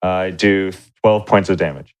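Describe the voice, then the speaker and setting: A man's voice: sits low, measured pace, lo-fi sound, heavy background noise. A man speaking casually as a player at a tabletop game, announcing his attacks and numbers.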